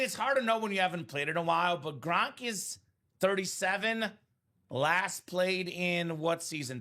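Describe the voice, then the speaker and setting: Male card break host, thirties, slightly high, bright voice, friendly sales patter. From Chicagoland area, born in Bulgaria. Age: 30s. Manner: chatty, salesy, addresses viewers by name. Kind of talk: casual live-stream chat.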